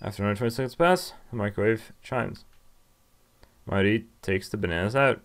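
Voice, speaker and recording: A man's voice: lowish voice, fairly quick. A young man reading game text aloud and commenting casually as he plays. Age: young adult. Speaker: game streamer. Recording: game music behind.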